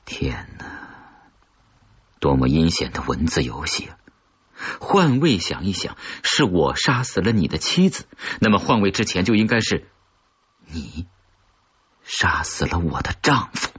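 Male audiobook narrator, low-pitched, husky, dramatic suspense reading, muffled lo-fi audio. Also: sing-song, unhurried, long pauses, a bit bright. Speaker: audiobook narrator of horror fiction.

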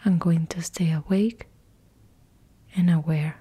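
Woman, meditation guide, whispered delivery, very slow and low, close to the mic. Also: fairly even intonation, dark tone, husky. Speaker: yoga nidra guide.

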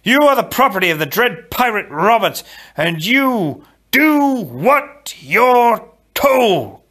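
A man voicing a young storybook hero who delivers commanding, menacing threats with slow theatrical emphasis. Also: on the high side, expressive, sharp tone, pitched above the performer's own voice. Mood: roaring command.

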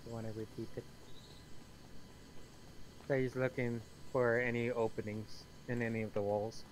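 Mid-pitched man over noisy voice chat. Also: little pitch movement, normal speed. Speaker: gaming teammate. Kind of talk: casual voice chat during a game.

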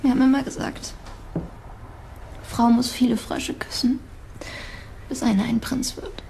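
An actress playing a grieving young girlfriend, soft and halting, in a crime radio play. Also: on the high side, level tone, vocal fry, slight background noise. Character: grieving young woman who lost her boyfriend.

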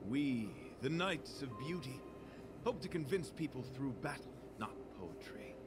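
An actor playing a courteous, earnest young knight devoted to beauty, speaking in formal, florid phrases for a video game. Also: male voice, medium pitch, lively intonation, measured pace, heavy background noise. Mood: earnest, apologetic. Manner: formal, florid, chivalrous delivery. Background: game music.

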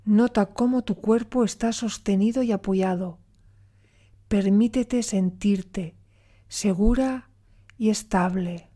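Dark, mellow-voiced woman guiding meditation. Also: mid-range voice. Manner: soothing, deliberate, with long pauses. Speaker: meditation guide. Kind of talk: guided meditation.

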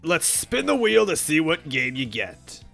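Storybook monster king, played by a male narrator: huge, bombastic, booming, slangy and sly, swinging widely in pitch over music. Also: huge pitch swings, a little slow, gravelly, bright, forceful tone, heavily acted. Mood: amused. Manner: casual slangy speech with swaggering showmanship.

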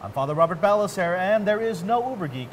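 A man's voice: high-pitched, expressive, fast talker. A man in his thirties hosting a conversational tech interview in a casual, geeky, enthusiastic way. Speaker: host interviewing at a tech trade event. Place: large indoor warehouse staging space. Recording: clear background noise of a warehouse.

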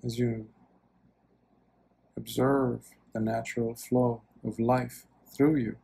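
A man leading a guided meditation as a monk, speaking gently and soothingly in short phrases with long pauses. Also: medium pitch, flat delivery, creaky, breathy edge.

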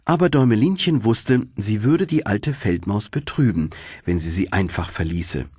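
Husky, expressive male storyteller. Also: on the low side, normal speed, no echo, studio quality. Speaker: audiobook narrator. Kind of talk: expressive fairy-tale narration.

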